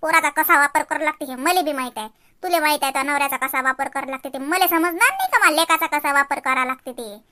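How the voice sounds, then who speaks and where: A woman acts out a quarrelsome cartoon mother-in-law with an extremely high, childlike pitch and a sharp, bright edge. She boasts and rants in rhetorical questions, with a rural Varhadi Marathi accent from Vidarbha. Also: fast. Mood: indignant, threatening. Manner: scolding, ranting with rhetorical questions. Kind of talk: exaggerated cartoon comedy acting.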